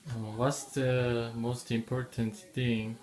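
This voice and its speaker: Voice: mid-range voice, level tone, takes it slowly, a little mellow. An interviewer asking short set questions. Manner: brief, repeats set questions.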